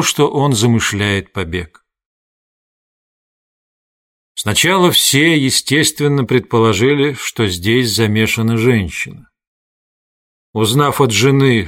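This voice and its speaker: A man narrating a literary audiobook from a script, with measured, deliberate phrasing. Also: mid-range voice, a bit bright.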